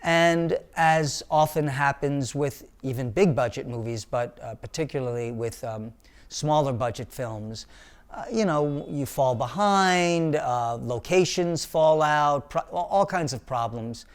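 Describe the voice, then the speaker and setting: Male director, interview; unhurried and hesitant, clean resonant tone. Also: mid-range voice, clear, resonant tone. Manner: hesitant, many ums and uhs. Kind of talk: casual interview talk.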